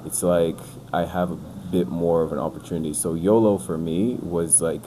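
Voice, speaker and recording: Very deep-voiced young man, rambling. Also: moderate pace. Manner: relaxed, rambling, says "like" and "bro" a lot. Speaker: podcast guest. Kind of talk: casual chat. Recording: noisy background.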